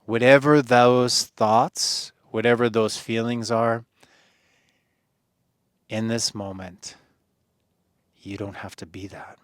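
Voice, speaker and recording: A man leading a guided meditation in a soothing, earnest manner, phrasing deliberately with pauses and gentle encouragement. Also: mid-range voice, fairly even intonation, clear, resonant tone, no echo, clean studio sound.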